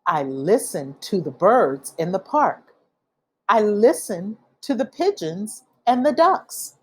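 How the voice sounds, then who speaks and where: A woman reading a children's picture book aloud, with expressive, deliberate phrasing for young listeners. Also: mid-range voice, breathy edge. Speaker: read-aloud storyteller.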